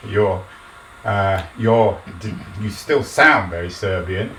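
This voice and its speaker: A man's voice: lowish voice, expressive, takes it slowly, sharp tone. A man hosting an interview podcast, asking probing, emphatic questions. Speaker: podcast host. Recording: echoey room, distant mic, faint noise.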